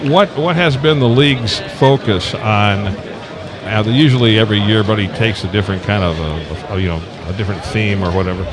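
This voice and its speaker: An older man with a fairly low voice hosts a casual interview amid a clearly audible crowd. He is folksy and rambling, circling back over his words as he talks. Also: brisk. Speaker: radio show host and interviewer. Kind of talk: casual live interview chat. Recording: live outdoor event with loud crowd behind. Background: busy crowd at a convention.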